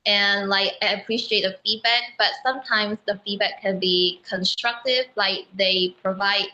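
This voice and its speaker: Bright-voiced young woman from Malaysia. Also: mid-range voice, fairly even intonation, lo-fi sound. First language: Mandarin Chinese. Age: young adult. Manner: earnest, measured, with frequent ums. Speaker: guest. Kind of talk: casual video call interview. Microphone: video call.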